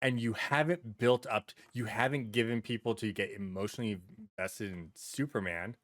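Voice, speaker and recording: A man's voice: fairly low pitch, moderate pace, a bit bright, dry recording. A man co-hosting a podcast, chatting casually and bluntly with plenty of swearing and repeated words. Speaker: podcast co-host. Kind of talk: casual chat, anecdotes and opinions.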